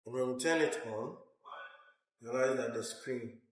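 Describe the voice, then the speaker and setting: Slow-talking man giving a tech walkthrough. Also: medium pitch. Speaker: tech tutorial presenter. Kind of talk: hands-on instructional walkthrough. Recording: roomy echo, uneven distance from mic.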